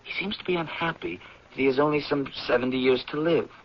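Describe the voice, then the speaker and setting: An American actor playing an eager, idealistic anthropology professor in a 1970s radio drama, with an earnest, persuasive delivery and a husky voice. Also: male voice, on the high side, steady tone, a little hiss or hum, natural voice. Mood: earnest, musing. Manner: earnest, persuasive, deliberate when reading aloud. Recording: dull, lo-fi vintage radio recording.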